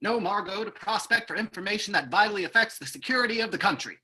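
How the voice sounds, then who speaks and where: An earnest male security agent voiced by a radio player, husky yet bright and forceful, at a middling pitch. He speaks in old-time radio drama style. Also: lively intonation, quick pace.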